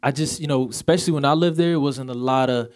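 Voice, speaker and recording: A man's voice: medium pitch, fast talker, a little mellow. A man in his twenties from Sweden, Swedish native speaker with a light accent, chatting casually as a singer guest, laid-back and full of "you know". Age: 20s. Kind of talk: casual interview chat.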